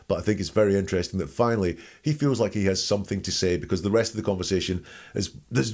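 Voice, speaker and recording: A man's voice: lowish voice, a little mellow. A man giving informal, blunt and opinionated commentary as he hosts an analysis of a recording. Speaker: host analysing a recorded call.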